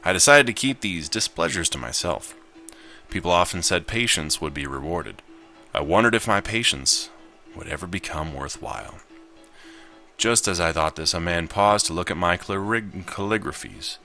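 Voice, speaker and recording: A man's voice: sits low, expressive, moderate pace, pushed and bright, soft background music. A man reading a visual novel aloud as he plays it, with casual commentary. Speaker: gamer narrating his gameplay.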